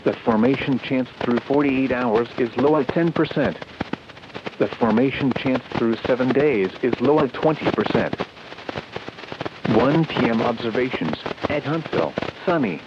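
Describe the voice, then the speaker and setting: A man delivering a scripted weather forecast as a radio announcer, with a measured, impersonal synthetic delivery over a radio broadcast. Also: mid-range voice, expressive, lo-fi sound, very noisy. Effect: radio broadcast sound.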